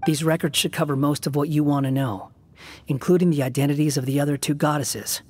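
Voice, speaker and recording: A man's voice: on the high side, fairly even intonation, brisk, a little mellow, close to an everyday voice. An actor playing an aloof, guarded young male wanderer in an anime game, terse and composed. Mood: matter-of-fact.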